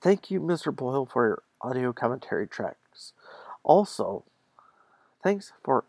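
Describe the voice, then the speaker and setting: A man hosting a podcast, reading an article aloud with commentary in a halting delivery that has stumbles and self-corrections. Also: medium pitch, vocal fry, a little mellow, no echo. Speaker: podcast host.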